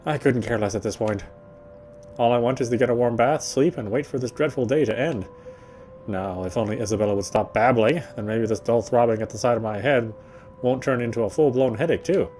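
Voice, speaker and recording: A man's voice: medium pitch, darkish tone. A man playing a game on stream, reading its story narration aloud and sometimes correcting his slips. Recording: music behind the voice. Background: game music.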